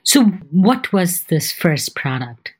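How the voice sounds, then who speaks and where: A woman's voice: fairly low pitch, very animated, slow pace, slightly rough. A woman hosting an interview, asking curious and direct questions.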